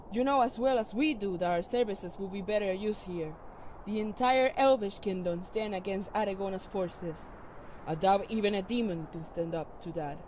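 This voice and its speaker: A woman playing a dutiful elf warrior in a fantasy roleplay, speaking in a formal, measured, courtly manner. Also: medium pitch, darkish tone, a little hiss or hum, lightly acted, low-quality recording. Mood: firm. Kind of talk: fantasy audio roleplay acting.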